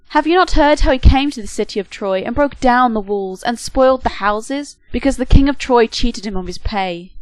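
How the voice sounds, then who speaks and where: A boastful male warrior who taunts his enemy loudly in a storybook tale, read aloud by a woman. The sound is dry and close, and the voice sits fairly high. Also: no room sound, close to an everyday voice, poor audio. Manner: taunting, loud.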